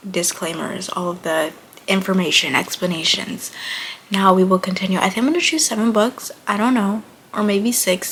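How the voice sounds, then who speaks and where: A woman's voice: medium pitch, fairly bright tone, a little hiss or hum, poor audio. A nineteen-year-old woman from Buffalo, New York, chatting casually as a book vlogger while recovering from illness, her voice slightly off and often dropping to a murmur. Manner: chatty and rambling, frequent drop to a murmur. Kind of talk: casual chat to camera.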